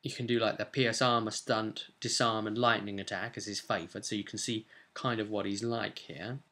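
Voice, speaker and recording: A man's voice: on the low side, fairly even intonation, moderate pace, pushed and bright. A young British man giving a casual, emphatic recap to camera as a game master, chatting from his desk at home. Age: young adult. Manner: chatty, emphatic, gesturing storyteller. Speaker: vlogger and game master recounting a session. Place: small indoor home room, seated at a desk.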